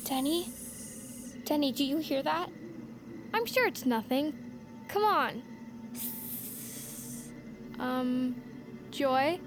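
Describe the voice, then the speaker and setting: An actress playing an eager, easily spooked young girl with a wide-eyed, expressive delivery in an audio drama. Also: high voice, very slow, pauses often, exaggerated voice. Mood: nervous. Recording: music behind the voice.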